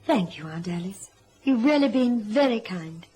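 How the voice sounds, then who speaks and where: An actress playing a sweet, trusting young niece in an old radio drama, polite and well-mannered. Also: female voice, mid-range voice, lively intonation, normal speed, dark tone, slight background noise, close to an everyday voice. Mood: polite, pleased.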